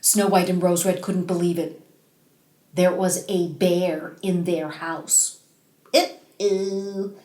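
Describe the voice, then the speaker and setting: A woman telling a fairy tale for children in an expressive, dramatic way, drawing out key words for emphasis. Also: fairly low pitch. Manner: dramatic, drawn-out emphasis, animated. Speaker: storyteller. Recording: roomy, echoing space.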